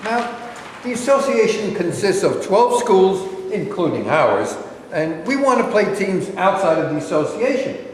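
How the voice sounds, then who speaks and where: A man plays a proud, protective school coach in a stage play. His emphatic voice is fairly high and swings widely in pitch, ringing in a very echoey hall. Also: sing-song, a little slow. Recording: very echoey hall, faint noise.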